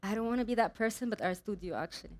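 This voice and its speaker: A young woman from Egypt answers onstage questions about her design work. She speaks hesitantly, leaning on fillers and breaking into frequent laughter, her voice sitting at an even middle pitch. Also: racing. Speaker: designer and studio owner. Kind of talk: live onstage Q&A.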